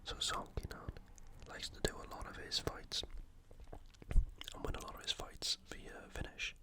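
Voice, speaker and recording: Breathy whisper, ASMR commentary, from the UK, soft, halting, unscripted, thinking aloud. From United Kingdom. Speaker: ASMR host previewing fights.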